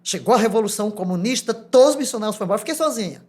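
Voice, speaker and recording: An older Brazilian woman with a Brazilian Portuguese accent tells her testimony as a podcast guest. She speaks with lively energy and laughs often. She also acts out the words of the people in her stories. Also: mid-range voice, rapid-fire. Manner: animated, laughs often, acts out quoted dialogue. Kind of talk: testimony storytelling.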